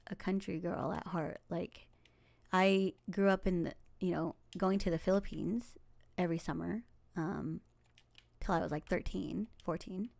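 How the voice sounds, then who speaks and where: A woman in her forties with a lowish, gravelly voice talks unhurriedly over a game she is playing. She rambles in a chatty way, with fillers, soft laughs and murmured asides to herself. She is from Los Angeles, and her first language is Tagalog. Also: steady tone, unhurried, no room sound. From Los Angeles, United States. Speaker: game streamer. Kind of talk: casual gameplay commentary.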